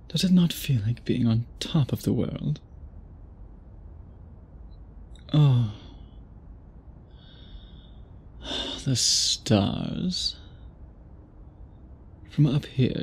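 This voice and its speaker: A man playing a centuries-old vampire suitor in an intimate ASMR audio drama, speaking in formal, archaic, deliberate phrases with a breathy, husky voice. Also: medium pitch, a little mellow, full character voice. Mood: tender, teasing. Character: centuries-old male vampire suitor, possessive, courtly, brooding.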